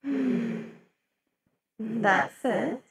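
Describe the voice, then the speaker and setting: A woman acts out a demon queen with a high-pitched voice. She speaks theatrically and intimately, choosing each phrase with deliberation. It is a scripted ASMR roleplay. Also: drawn-out words, creaky, husky, heavily acted. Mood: menacing, possessive. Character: demon queen, imperious and possessive, secretly tender lover.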